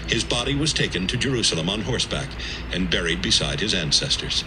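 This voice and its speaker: A man's voice: low-pitched, sing-song, fairly quick, background noise. A man giving a scripted, dramatic narration of scripture as the narrator of an audio Bible. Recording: music bed behind the voice.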